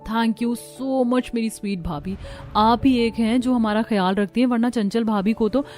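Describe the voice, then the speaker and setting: A woman's voice: on the high side, unhurried, darkish tone, close to an everyday voice. A woman voicing a demanding college-age sister-in-law in a cartoon story, casual and pushy in manner. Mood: breezy, pushy. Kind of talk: Hindi cartoon story dialogue. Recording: music behind the voice.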